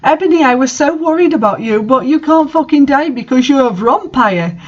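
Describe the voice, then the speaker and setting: A woman's voice: high-pitched, expressive, moderate pace, muffled recording, pitched above the performer's own voice. A woman voicing a worried goth schoolteacher, urgent and melodramatic, in a fan fiction reading. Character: worried, breathless-talking female goth teacher, dramatic.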